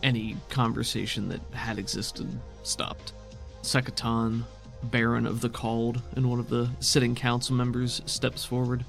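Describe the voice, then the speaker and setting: Guy game master narrating an rpg scene, gravelly fry in his voice, soft music under it. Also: medium pitch, level tone, normal speed. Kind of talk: narrating a tabletop roleplaying game.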